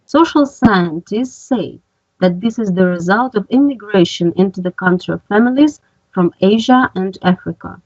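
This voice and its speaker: A woman's voice: medium pitch, darkish tone, no echo. Careful woman student in her thirties. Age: 30s. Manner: careful, slow reading. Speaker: adult student. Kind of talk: reading aloud in class. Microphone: video call. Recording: dull dry call audio.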